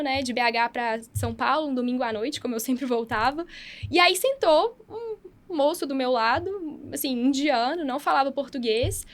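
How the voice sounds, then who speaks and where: A woman's voice: sits high, fairly bright tone. A woman doctor hosting a podcast, telling stories enthusiastically in a casual, chatty way with lots of fillers. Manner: enthusiastic, fast storytelling with many fillers. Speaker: podcast host, radiotherapy doctor and app founder.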